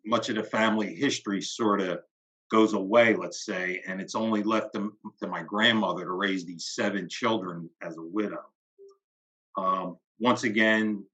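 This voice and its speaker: Low bright-toned man, reflective. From Philadelphia, USA. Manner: measured, trails off quietly at phrase ends. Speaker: guest. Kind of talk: reflective interview talk. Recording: slightly dull lo-fi audio.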